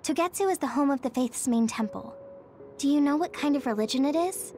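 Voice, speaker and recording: High-pitched young woman on a squad. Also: animated, fairly quick, a little mellow, a little hiss or hum. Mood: curious. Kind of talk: English video game dub acting. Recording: music under the voice.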